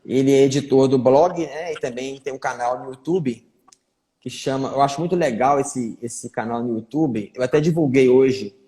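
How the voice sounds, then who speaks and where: A man's voice: medium pitch, normal speed, dark tone. A man, a neurologist, hosting a casual live-stream interview in a chatty way, often tagging his sentences with little check-ins. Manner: chatty, repeats fillers like "né". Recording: echoey room with long reverb.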